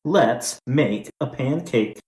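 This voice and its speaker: A man's voice: medium pitch, lively intonation, slow pace, a little mellow. An eager little boy, as a man reads him aloud. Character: eager young boy helping with breakfast. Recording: roomy room with background music.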